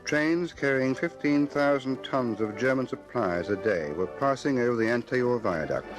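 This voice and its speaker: A man's voice: medium pitch, lively intonation, normal speed, music in the background, close to an everyday voice, rough recording. A man narrating a wartime radio drama in a sober, scripted storytelling style.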